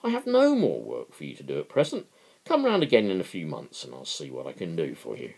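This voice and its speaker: A man's voice: a bass voice, wildly swooping pitch, a little fast, vocal fry, darkish tone, husky, exaggerated voice. A man voicing a smug, falsely kind capitalist boss in a dramatic book reading, affable, patronising and dismissive.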